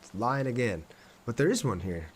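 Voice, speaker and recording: A man's voice: mid-range voice, sing-song, normal speed, a little hiss or hum. A man live streaming a game, thinking aloud in an informal way and chatting casually with viewers as he plays.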